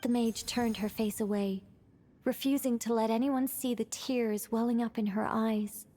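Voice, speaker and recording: A woman's voice: fairly high pitch, steady tone, normal speed, mellow, slight background noise, natural voice. A woman voicing a composed, playful young female mage who tells tales theatrically in a video game. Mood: dramatic. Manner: theatrical storytelling. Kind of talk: video game voice acting, dramatic narration.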